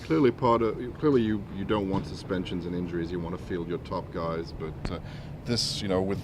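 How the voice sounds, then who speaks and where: A man, a reporter, asking a coach questions at an outdoor scrum, halting over fillers. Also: deep voice. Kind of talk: press questions. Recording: noisy outdoor press scrum.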